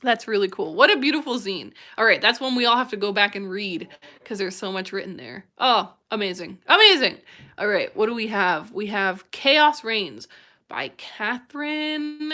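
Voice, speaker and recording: Bright, effusive young woman host. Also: mid-range voice, animated, moderate pace. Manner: effusive and enthusiastic, gushing over what she reads. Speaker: livestream host. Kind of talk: live-streamed chat reading viewer submissions.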